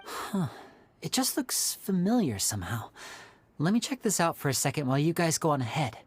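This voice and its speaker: A woman's voice: low-pitched, big pitch swings, moderate pace, breathy edge, dry recording, full character voice. A woman acting a character's dialogue in a video game.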